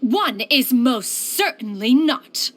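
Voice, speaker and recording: A proud immortal lady for a video game. Her voice is on the high side and her diction is formal and archaic. She carries herself as a haughty, pompous, yet caring master inventor. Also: animated, takes it slowly, a bit bright. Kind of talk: scripted video game character voice lines.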